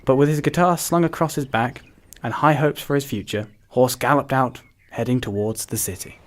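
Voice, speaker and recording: A man's voice: mid-range voice, moderate pace, a little hiss or hum. A man reading scripted narration for an animal story.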